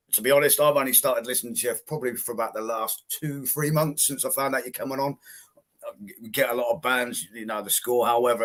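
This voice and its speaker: A man's voice: mid-range voice, a bit bright. A man hosting a casual music interview with a cheeky, dry, teasing manner. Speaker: show host.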